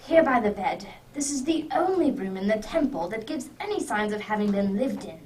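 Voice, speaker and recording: A woman's voice: on the high side, expressive, a little fast, a little mellow, close to an everyday voice. A woman playing a commanding male detective in a radio drama, grave and declarative as he deduces. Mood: grave, intent. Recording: distant microphone.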